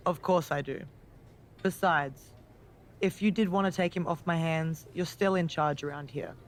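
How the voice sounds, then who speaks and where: A woman's voice: deep voice, normal speed, natural voice. A woman playing a cool, menacing, self-assured female wrestling villain in a video game cutscene.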